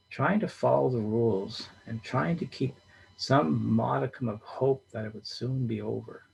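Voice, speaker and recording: Gravelly husky man reading a story. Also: medium pitch, rough recording. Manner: steady storytelling. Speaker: reader. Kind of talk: reading a short story aloud.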